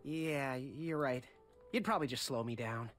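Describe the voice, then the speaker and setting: An actor playing a friendly, eager young would-be knight in a fantasy game, polite and a little awkward. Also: male voice, mid-range voice, moderate pace, a bit bright, faint music behind, natural voice. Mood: awkwardly polite. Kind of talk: animated video game acting.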